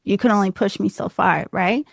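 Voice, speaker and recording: Soft-voiced American woman, podcast guest, mid-range pitch, dry close sound, open and laughing storyteller. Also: normal speed, vocal fry, no room sound. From United States. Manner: laughs often, animated and self-deprecating. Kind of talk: candid conversational storytelling.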